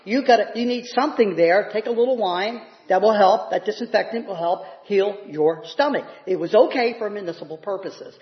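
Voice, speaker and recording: High-pitched male preacher in an echoey room. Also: normal speed, hardly any pauses. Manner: emphatic, instructive, asks the congregation questions. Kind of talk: sermon, Bible teaching. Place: church or hall, speaking to a congregation. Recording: echoey room, slightly dull sound.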